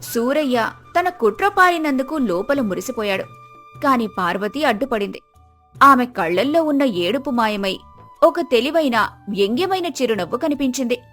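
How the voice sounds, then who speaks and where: Woman storyteller, really high voice, scripted tale, music playing behind her. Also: expressive, moderate pace, a bit bright.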